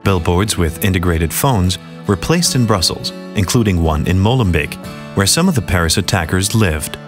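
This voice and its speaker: A man with a deep, low voice narrates an advertising case film in a calm, measured way. Music plays clearly beneath his words throughout. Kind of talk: scripted voice-over narration. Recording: voice-over with music bed behind.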